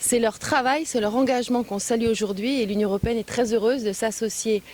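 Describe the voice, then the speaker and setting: A woman speaking as an official at a public event, phrasing deliberately with frequent hesitant pauses, recorded on location. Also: on the high side, fairly even intonation, a little mellow, a little hiss or hum. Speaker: European Union official giving a speech and interview. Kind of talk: public speech and press remarks.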